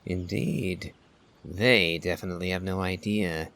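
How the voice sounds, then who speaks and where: Man as low, unhurried, cautious traveler. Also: steady tone, fairly bright tone. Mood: thoughtful, wary. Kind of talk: game character dubbing. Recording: faint music under the voice.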